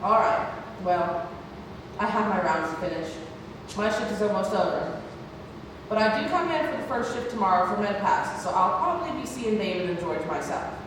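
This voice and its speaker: Casting: actress as caring nurse, teasing and reassuring, mid pitch, distant mic in a noisy hall. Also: female voice, lively intonation, moderate pace, close to an everyday voice. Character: teasing, caring female care-home nurse. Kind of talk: play table read. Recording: echoey hall, distant mic, heavy noise.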